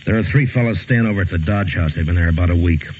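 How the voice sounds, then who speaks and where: An actor playing a steady, grave frontier lawman in a 1950s radio western. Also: sits low, fast talker, close to an everyday voice. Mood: grave, businesslike. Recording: muffled, tinny 1950s radio recording with hiss.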